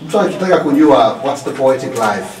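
A man's voice: mid-range voice, animated, brisk, darkish tone. A man with an East African accent hosting a casual interview, switching between languages as he chats. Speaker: radio show host. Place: small radio studio. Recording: roomy echo, distant mic.

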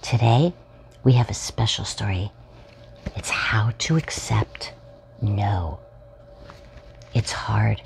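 Very low-voiced, expressive woman reading to children. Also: gravelly, a little hiss or hum. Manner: expressive, addressing young children. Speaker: storytime host reading children's books aloud. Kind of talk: children's read-aloud storytelling. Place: small furnished room at home, indoors.

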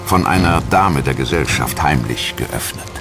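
A man's voice: a bass voice, animated, a little slow, gravelly, music in the background. A man narrating a documentary in a scripted style, as narrator and voice-over.

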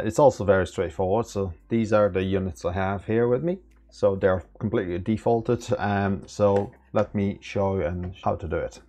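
A man in his thirties giving a relaxed step-by-step tech tutorial with lots of fillers, recorded at a desk indoors. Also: sits low. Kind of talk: casual screen-recorded tutorial. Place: small room indoors.

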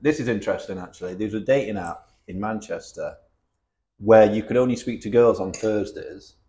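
A man's voice: fairly low pitch, a little fast, mellow. Teasing North West English man hosting a podcast. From Cheshire, England. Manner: teasing, banter with frequent tag questions. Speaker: podcast host. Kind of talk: casual podcast chat.

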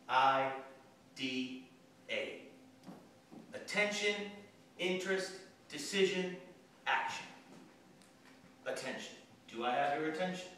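An actor playing an aggressive, profane sales boss from head office in a theatrical monologue, domineering, taunting and emphatic. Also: male voice, fairly high pitch, a bit bright. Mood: forceful, goading. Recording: echoey room, distant mic, faint noise.

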